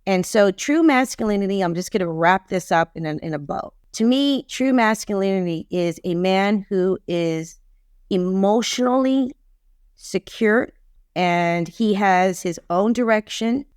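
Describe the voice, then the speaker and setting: Woman, fifties, podcast guest, medium pitch, emphatic, opinionated, casual, repetitive for stress, dry close sound. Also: measured pace, darkish tone, clean tone, no echo. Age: 50s. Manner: emphatic, repeats phrases for stress. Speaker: podcast guest, relationship expert.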